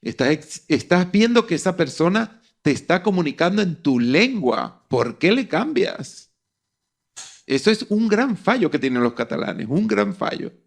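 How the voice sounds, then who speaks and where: A man's voice: high voice, very animated, measured pace, vocal fry. A middle-aged man with a Venezuelan accent giving his opinion conversationally, repeating phrases for emphasis.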